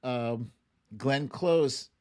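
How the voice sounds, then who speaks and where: Male host, unhurried pace, middling pitch, casual commentary, halting and conversational. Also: darkish tone. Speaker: host of a livestream show.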